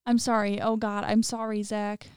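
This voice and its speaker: A woman's voice: mid-range voice, flat delivery, a little mellow, clean tone, no room sound, studio quality, natural voice. Woman voicing a guilt-stricken, sobbing intruder. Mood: panicked remorse. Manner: pleading, broken, halting apologies. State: crying, panicked.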